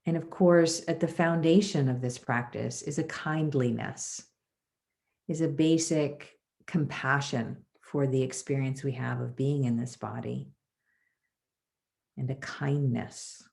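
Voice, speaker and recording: A woman leading a guided body scan meditation as a teacher, soothing and measured, with pauses between phrases. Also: rumbling low, steady tone, gravelly, a little mellow.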